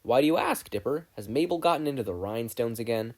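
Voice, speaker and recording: A narrator voicing a calm, scholarly older great-uncle in a fan fiction audiobook. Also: medium pitch, fast. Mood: curious.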